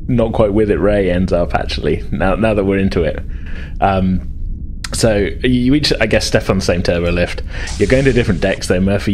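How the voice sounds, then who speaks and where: Low-pitched man running a tabletop game. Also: darkish tone, slight background noise. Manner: halting, conversational, descriptive. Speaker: game master. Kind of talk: improvised tabletop game narration and chat.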